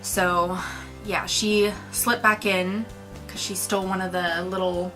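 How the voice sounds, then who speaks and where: A woman's voice: on the low side, level tone, slow pace, fairly bright tone, soft background music, rough recording. A woman co-hosting a casual movie review, talking informally and enthusiastically with slang.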